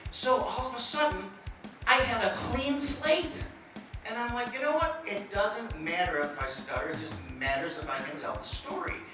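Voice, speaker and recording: A man's voice: high-pitched, normal speed, music in the background. Joking self-mocking man in echoey hall. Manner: self-deprecating jokes, slight stutter. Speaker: motivational speaker. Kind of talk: comedic stage presentation. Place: presentation hall. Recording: echoey hall, mic from far off.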